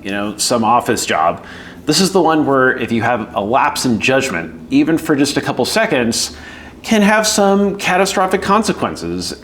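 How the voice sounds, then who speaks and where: A man's voice: mid-range voice, fairly bright tone. A middle-aged man from the United States, an air traffic controller giving casual, candid career advice with lots of fillers. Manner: conversational, acts out quoted voices, frequent fillers. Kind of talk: casual explainer video.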